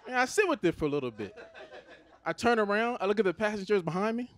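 A man from Los Angeles in his thirties with an American accent, performing a stand-up comedy set on a theater stage into a handheld mic, animated and conversational. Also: sits high, fast. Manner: animated, conversational, switches into put-on Nigerian voices for his parents.

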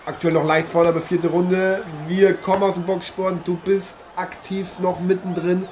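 A man playing an eager, chatty boxing commentator and interviewer in an improvised radio play, polite and halting. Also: high voice, little pitch movement, a little mellow, close to an everyday voice. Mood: excited, welcoming. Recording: noisy, with arena ambience behind.

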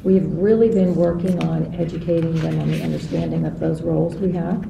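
A woman speaking earnestly as an interview guest, stressing points in steady lists. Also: low voice, brisk, vocal fry. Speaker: guest, association president. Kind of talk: interview talk. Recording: echoey distant mic with background noise.

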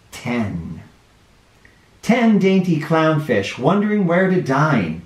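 A man in his forties reading a children's picture book aloud at home, expressive, dropping his voice low on each count. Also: reverberant. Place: living room with couch and toys, indoors.

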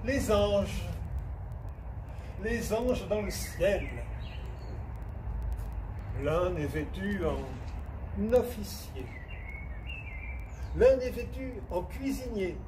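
A man with a somewhat high voice recites verse extremely slowly, leaving long pauses between phrases. He sounds set back from the microphone, with clear noise behind him. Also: expressive. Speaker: poetry reader. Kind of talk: slow solemn poetry recitation. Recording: distant mic, clear background noise.

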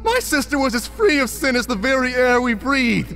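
Man as high-voiced, impassioned grieving brother. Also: sing-song, moderate pace, bright, forceful tone, soft background music, full character voice. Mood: anguished, bitter. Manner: impassioned, melodramatic. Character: grieving brother, anguished self-blaming man. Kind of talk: scripted comic-book drama.

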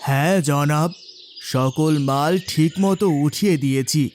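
A man's voice: on the high side, unhurried, darkish tone, a little hiss or hum, lightly acted. A man playing a poor, honest, devout labourer father in a cartoon moral story. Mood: earnest, humble.

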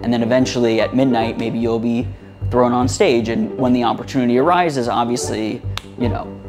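A man's voice: medium pitch, steady tone, normal speed, over music. A man who produces comedy shows, explaining things in a casual, matter-of-fact way. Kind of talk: casual interview.

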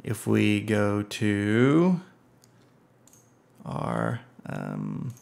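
A man with a low voice that has a heavy gravelly fry talks through a software setup at his computer. He is relaxed and unhurried, filling gaps with ums and uhs as he clicks along. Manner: relaxed, many ums and uhs, thinks aloud. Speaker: software tutorial presenter. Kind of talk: casual screen-recorded tech walkthrough.

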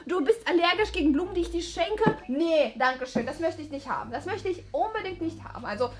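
A woman in her twenties improvising casually, halting and repeating her phrases. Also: extremely high pitch, expressive. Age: 20s. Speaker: date participant in a comedy video. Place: indoor studio room at a table.

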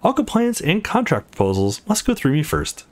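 A man's voice: on the high side, huge pitch swings, normal speed, voice pushed up. Man doing an officious male lawyer. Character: formal, brisk male lawyer and manager.

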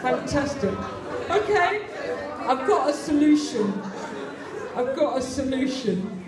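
A woman's voice: high voice, sing-song, takes it slowly, far from the mic. English woman calling a barn dance. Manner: sing-song, chatty calling with laughter. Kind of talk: calling dance moves over live music. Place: large community hall with dancers. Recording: echoey hall over loud band and crowd.

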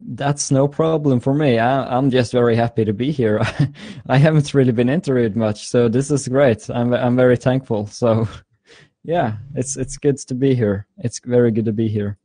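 A man's voice: mid-range voice, steady tone, moderate pace, mellow, no room sound. A man in his twenties from Norway, a guest answering interview questions eagerly, often repeating words and laughing. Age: 20s. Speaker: guest YouTuber. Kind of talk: casual interview.

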